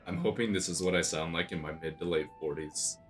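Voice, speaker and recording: A young man streaming a game, casually commenting in a raspy, hoarse voice from being sick and joking crudely. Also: deep voice, brisk, soft background music. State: hoarse from illness.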